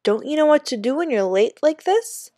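An easygoing, kindly giant of a school security guard from a storybook, acted out by a woman. He stretches his words playfully and speaks up loudly, pitched high, in a dry close recording. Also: sing-song, dry recording. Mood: teasing, kindly. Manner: drawn-out vowels, playful.

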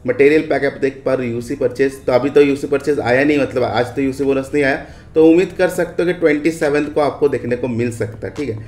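A man's voice: medium pitch, fairly quick, a little mellow, faint music behind. A man with an Indian accent casually walking viewers through a game update in a conversational, tag-heavy way, as host of a gaming video. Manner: conversational, frequent checking tag phrases. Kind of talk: casual screen-capture commentary on game updates. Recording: roomy echo on voice.

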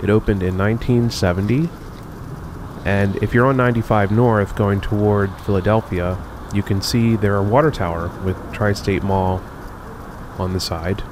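A man casually narrating a walkthrough of a rundown shopping mall, musing and unhurried with many pauses and fillers. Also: on the low side, background noise. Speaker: video host touring a closed-down mall.

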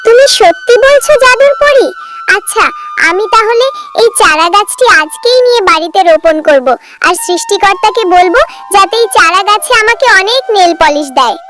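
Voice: very high voice, expressive, moderate pace, pushed and bright, faint music behind, heavily acted. A performer voicing a sweet, polite, pleading little girl in a children's cartoon. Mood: hopeful.

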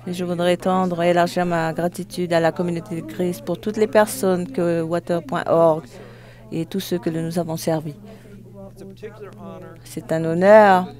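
An interpreter giving a live spoken translation of a formal speech, phrase by phrase, with halting self-corrections. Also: medium pitch, a little mellow.

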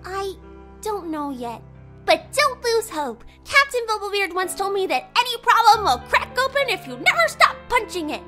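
A woman's voice: extremely high pitch, big pitch swings, normal speed, pushed and bright, soft background music, heavily acted. A woman voicing a plucky, determined little girl hero with an earnest, spirited manner in a cartoon fan dub. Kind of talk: animated comic fan dub.

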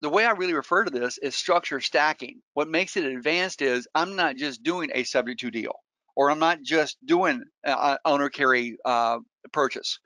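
Middle-aged male webinar speaker; earnest, slightly high, dry close-miked voice. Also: moderate pace, bright, forceful tone, no echo. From Colombia. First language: Spanish. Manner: earnest storytelling with frequent restarts. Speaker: real estate investor and webinar guest speaker. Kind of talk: webinar presentation.

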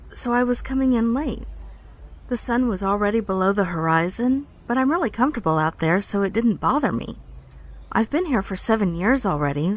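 A woman playing an apologetic, self-conscious young park ranger who speaks haltingly in a naturalistic podcast drama. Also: medium pitch, lightly acted. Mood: embarrassed, uneasy. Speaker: actor in audio drama.

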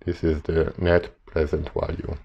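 A man with a Hungarian accent, a native Hungarian speaker, walking through a calculator tutorial at his desk in careful, halting English full of fillers. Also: a bass voice, monotone, vocal fry, warm tone, slightly rough, highs cut off. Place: desk indoors, filming paper and calculators.

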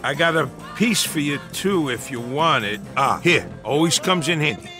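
Music plays loud behind a young American gangster pal. His highish voice jumps around in pitch, boisterous, slangy and chummy, like a crime game sidekick. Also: expressive, normal speed. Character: brash, chummy young Italian-American mobster buddy. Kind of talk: game cutscene acting.

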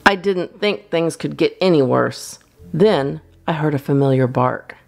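Female storyteller for children's books: very lively intonation, low register, soft background music. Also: measured pace, vocal fry. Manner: expressive, acts out dialogue. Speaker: storyteller, channel host. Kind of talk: animated children's storybook read-aloud.

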